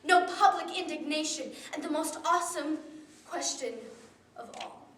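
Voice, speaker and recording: A teenage girl giving a memorized competition speech with a solemn, deliberate delivery that drops to hushed tones. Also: high-pitched, a little hiss or hum. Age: teens. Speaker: student speaker. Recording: roomy echo from a distant camera.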